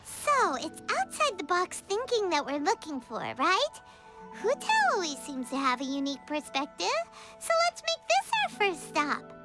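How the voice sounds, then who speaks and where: Cheerful little fairy sidekick for a game: squeaky, childlike, extremely high and lively. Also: female voice, normal speed, over music, exaggerated voice. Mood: cheerful, eager. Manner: perky, refers to self in third person. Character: tiny cheerful floating fairy companion, childlike, food-loving. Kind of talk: video game voice acting.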